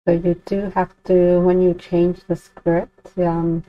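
A woman giving an unscripted software walkthrough as a tutorial presenter, informally thinking aloud with some hesitation. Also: lowish voice, completely flat delivery, slow. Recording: dull, lo-fi, dry close recording.

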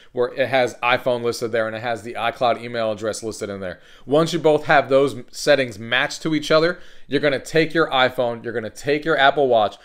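A man hosting a casual step-by-step tech tutorial, talking in an instructional way and stressing key points. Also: mid-range voice. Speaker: host of a tech tutorial channel.

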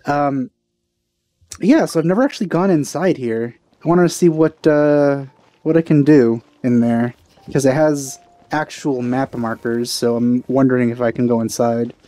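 A man's voice: medium pitch, normal speed, warm tone. A man giving casual commentary as he plays a video game. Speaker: let's player. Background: game music.

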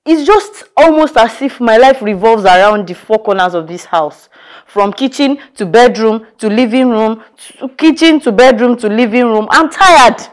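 A woman's voice: a little high, animated, a little mellow, lightly acted. An actress with a Nigerian accent playing an overwhelmed young mother who vents, scolds and apologizes in turn. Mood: exasperated venting. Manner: venting, scolding, quick to apologize. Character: overwhelmed young mother, tired and short-tempered. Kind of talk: scripted skit acting.

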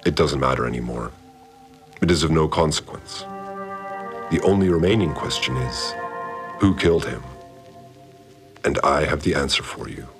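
A measured, grave male narrator speaks in an extremely deep voice. Music plays clearly behind him throughout. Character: calm male mystery function narrator.

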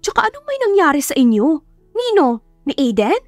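Woman voicing an exasperated friend, pitched very high. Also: big pitch swings, fairly quick, dry recording, soft background music. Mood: exasperated, incredulous. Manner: protesting, reasoning. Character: exasperated young woman friend, pleading and scolding. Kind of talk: dramatised audiobook dialogue.

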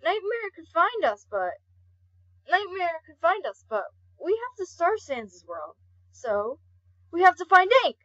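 A woman voicing a gentle, tearful, kindhearted young male skeleton guardian in an earnest, pleading comic dub. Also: extremely high pitch, big pitch swings, unhurried, exaggerated voice. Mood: earnest, fond. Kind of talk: amateur comic dub acting. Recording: dull lo-fi home recording.

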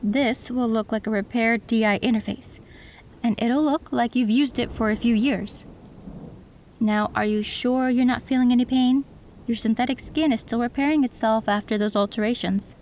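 A woman voicing a female cyberpunk tech who works on an android, calm and matter-of-fact, in an audio roleplay. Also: on the high side, moderate pace, a little hiss or hum, natural voice.

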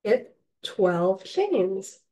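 A woman with a slightly raspy, cold-hoarse voice, patiently teaching a crochet class step by step and counting stitches aloud. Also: medium pitch, big pitch swings, drawn-out words, mellow. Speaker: crochet instructor. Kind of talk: step-by-step craft tutorial. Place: indoors, online class.

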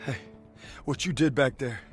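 An actor playing an earnest, loyal young soldier from Texas in a war game. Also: male voice, medium pitch, normal speed, music in the background, natural voice. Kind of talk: cinematic war game acting.

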